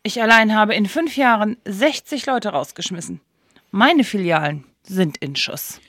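A woman's voice: mid-range voice, animated, measured pace, a bit bright, no room sound, studio quality. A woman playing a stern, ruthless store sales manager in a German radio play, commanding and haughty. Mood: cold, boastful.

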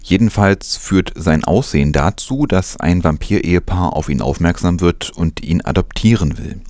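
A man's voice: sits low, lively intonation, moderate pace, a little mellow, dry recording, clean studio sound. A man presenting news, reading from a script in a narration style.

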